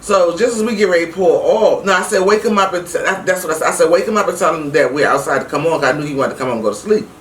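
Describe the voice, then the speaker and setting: Animated woman storyteller in a roomy space. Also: on the low side, very fast, few pauses. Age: middle-aged. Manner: animated, emphatic, acts out quoted dialogue. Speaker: vlog host. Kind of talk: casual personal storytelling to viewers. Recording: roomy, distant mic with faint noise.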